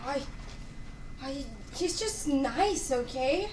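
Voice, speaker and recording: A woman's voice: sits high, animated, extremely slow, darkish tone, heavily acted. A woman playing a proud, teasing Cuban daughter in a staged play reading. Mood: teasing, bashful. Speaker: student actor in a dramatic reading. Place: echoey room at a live performance. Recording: roomy, distant mic, faint noise.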